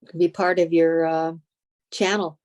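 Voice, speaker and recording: A woman's voice: deep voice, flat delivery, normal speed, darkish tone. A woman co-host chatting casually, guiding her friend step by step with lots of likes and ums. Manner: friendly, gives step-by-step advice with many fillers. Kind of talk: casual chat.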